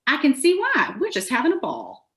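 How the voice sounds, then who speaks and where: A woman's voice: high voice, wildly swooping pitch, moderate pace, sharp tone, voice pushed up, exaggerated voice. A woman voicing a chatty, gushing older Southern tourist with a Southern US accent in a humorous essay reading. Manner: gushing, folksy, exclamatory. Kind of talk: acted dialogue in a humorous personal essay.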